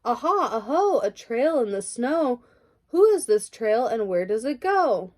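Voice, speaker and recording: A woman's voice: on the high side, big pitch swings, a little slow, a little mellow. A woman voicing a curious, brave little monster girl who asks eager questions.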